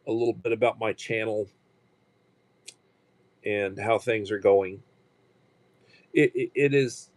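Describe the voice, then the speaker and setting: A man's voice: medium pitch, darkish tone, no room sound. A man in his sixties hosting a casual livestream, talking in a rambling way with frequent restarts and fillers. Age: 60s. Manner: rambling, halting with repeated restarts. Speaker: livestream host.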